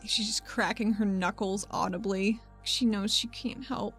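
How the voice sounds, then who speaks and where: A woman playing a tabletop game describes her character in the third person. Her voice sits at a middle pitch, with faint music behind it. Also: fairly even intonation, measured pace, a bit bright. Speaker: tabletop roleplaying player.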